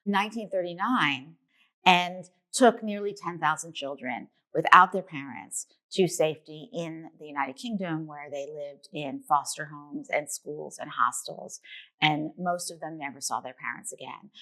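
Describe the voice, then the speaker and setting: A woman from New York with a notably low voice answers interview questions. She speaks as a documentary filmmaker, telling her story in an earnest, reflective way. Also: a little slow, sharp tone.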